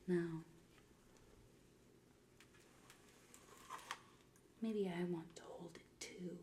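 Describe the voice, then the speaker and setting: A woman playing a mocking, menacing pirate queen in a soft-spoken ASMR roleplay, breathy and often whispering close to the mic, drawing out and repeating her words. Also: medium pitch, a little hiss or hum, close to an everyday voice. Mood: taunting. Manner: slow, drawn-out, repeating words, theatrical. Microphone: close ASMR microphone.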